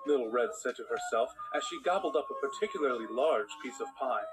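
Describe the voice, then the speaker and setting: A man's voice: mid-range voice, lively intonation, quick pace, natural voice, poor audio. A man playing a patient but exasperated cartoon storyteller, reading a bedtime tale as narrator. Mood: storytelling. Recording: music behind the voice. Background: music.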